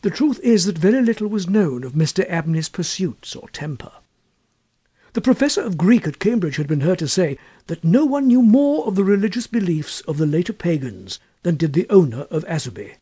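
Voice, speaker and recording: Man, audiobook narration, husky and soft-toned, lively rise and fall, measured literary storytelling. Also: high-pitched. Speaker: audiobook reader.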